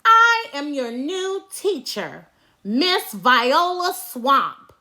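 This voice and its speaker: A woman puts on a villainous substitute teacher from a storybook, a stern witch of a woman. She hisses and snaps out orders slowly, in a high voice that swings up and down with menace. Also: big pitch swings, bright, forceful tone, full character voice. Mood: menacing. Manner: snapping, hissing, commanding. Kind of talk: children's storybook read-aloud.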